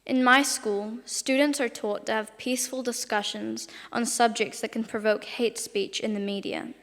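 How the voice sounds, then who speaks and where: A woman's voice: fairly high pitch, steady tone, normal speed, a bit bright. A teenage girl from New Zealand delivering a prepared formal speech as a student speaker. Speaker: student speech competition winner. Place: large parliament hall, speaking to an event. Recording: long hall reverb.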